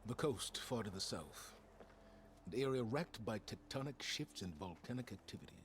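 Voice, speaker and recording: An actor playing a grave, calculating older male scholar in a video game, speaking in a measured, formal way. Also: lowish voice, expressive, a little hiss or hum, close to an everyday voice. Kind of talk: video game cutscene dialogue.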